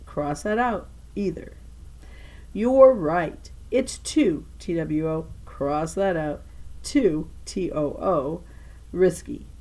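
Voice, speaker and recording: A woman's voice: fairly low pitch, takes it slowly, warm tone. A woman voicing an earnest young schoolgirl in a children's novel, carefully dictating and correcting a letter. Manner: careful dictation with self-corrections, spelling out words.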